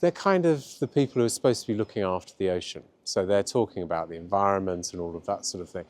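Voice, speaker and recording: Male activist, fairly low voice, explaining plainly in an interview. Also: quick pace, darkish tone. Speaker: campaigner.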